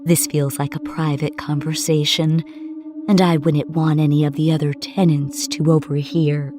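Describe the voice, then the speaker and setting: A woman voicing a pleasant, politely firm middle-aged male building manager, speaking slowly and gently in an audio horror story. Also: sits low, steady tone, music in the background, pitched below the performer's own voice, natural voice. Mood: polite, smooth. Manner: slow, gentle, measured.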